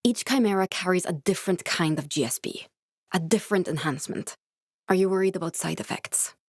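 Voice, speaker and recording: A woman's voice: fairly low pitch, a little fast, no room sound, lightly acted. An actress voicing a determined, caring young woman scientist in a video game, speaking in an earnest, direct, instructive way with naturalistic dramatic delivery. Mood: matter-of-fact, businesslike.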